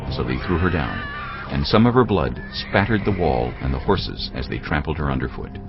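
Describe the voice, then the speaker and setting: Male narrator, extremely deep, gravelly, dramatic scripted scripture reading, muffled audio, music bed. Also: sing-song, normal speed.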